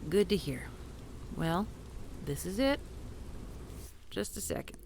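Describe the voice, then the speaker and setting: A woman's voice: mid-range voice, sing-song, takes it slowly, a little mellow, slight background noise. A woman voicing a self-assured, teasing former professor in an audio roleplay, dry and in charge. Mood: wry. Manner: dry, teasing, takes charge, swears casually. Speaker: historical society leader.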